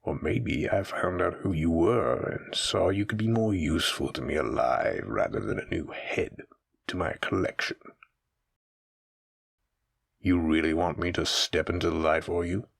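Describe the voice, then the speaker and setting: A menacing supernatural mafia boss, played by a man toys with his captive in a roleplay audio drama. His voice is very deep and husky, with heavy gravel in it. He taunts and teases theatrically, speaking straight to the listener. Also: expressive, measured pace, exaggerated voice. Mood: amused, threatening. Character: menacing, teasing male mafia boss villain with shadow powers.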